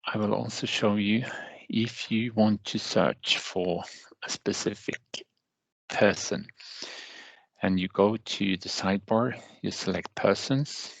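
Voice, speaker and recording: Guy doing a software walkthrough, really slow and halting, super dry close mic. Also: fairly low pitch, steady tone, vocal fry, no echo, rough recording. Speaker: software trainer. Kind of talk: step-by-step software tutorial narration.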